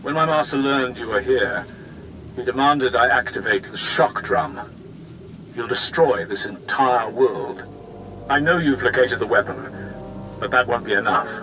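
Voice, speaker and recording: An actor voicing a solemn, honor-bound Sith warrior lord in a video game, speaking formally and with measured gravity. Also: male voice, mid-range voice, pushed and bright, slight background noise, poor audio. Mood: grave, challenging. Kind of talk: dramatic video game acting.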